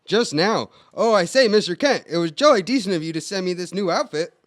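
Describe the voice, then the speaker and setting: A man's voice: high voice, expressive, fairly quick. A man voicing a tiny, chummy British circus performer with quaint, polite upper-class phrasing, in an old-time radio play. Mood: chummy, pleased. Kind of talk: 1940s-style radio drama acting.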